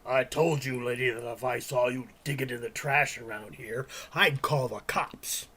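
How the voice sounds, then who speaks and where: An actor playing a mean, threatening man in a radio drama, stern and scornful, with a husky, gravelly voice. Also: mid-range voice, fairly even intonation, moderate pace, bright, forceful tone. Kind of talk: audio drama acting.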